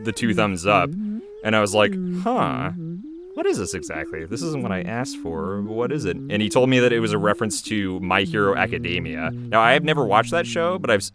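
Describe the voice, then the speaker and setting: A man giving rambling, easygoing commentary on his own animated episode, speaking casually as its creator. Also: lowish voice.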